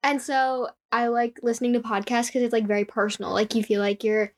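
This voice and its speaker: A woman's voice: fairly high pitch, little pitch movement, fairly quick. A young adult woman hosting a podcast in casual conversation, using "like" often. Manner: conversational, heavy use of "like". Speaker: podcast host. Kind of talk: casual chat.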